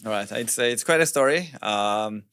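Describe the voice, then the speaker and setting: Polish-accented man in his thirties, low voice, thoughtful guest on a dry-sounding podcast. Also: animated, a bit bright, smooth, no echo. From Poland. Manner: measured, thoughtful, hesitant with fillers. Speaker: guest, fintech CEO. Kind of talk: casual podcast conversation.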